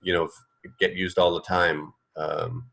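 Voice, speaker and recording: A man with a low voice hosts a relaxed, conversational radio show. He feels his way through explanations, rambling a little and pausing to find the next phrase. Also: gravelly. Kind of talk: casual co-hosted radio chat.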